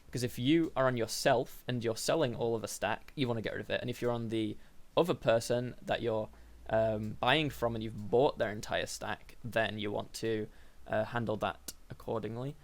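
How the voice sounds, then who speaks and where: A young man with a slightly croaky voice, hosting a casual coding tutorial and thinking aloud with fillers and self-corrections. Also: on the low side, steady tone, normal speed, hardly any pauses. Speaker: programming tutorial host.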